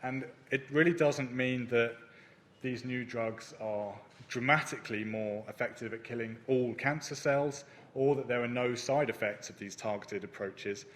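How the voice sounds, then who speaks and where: A man presenting his research at a conference, speaking in a measured way with frequent ums and uhs. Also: mid-range voice, fairly bright tone, slight background noise. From not Germany. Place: conference hall.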